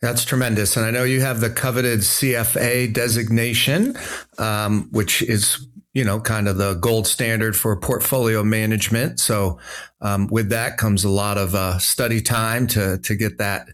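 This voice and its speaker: A man's voice: fairly low pitch, steady tone, normal speed, fairly bright tone. A man, a financial advisor co-hosting a podcast, talking in an upbeat, chatty way with plenty of fillers. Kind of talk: podcast conversation.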